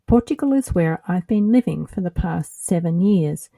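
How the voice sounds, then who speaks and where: A middle-aged woman with an Australian accent, hosting her own podcast in a measured, earnest scripted narration. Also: fairly low pitch, lively intonation, gravelly, mellow, no echo. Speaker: podcast host.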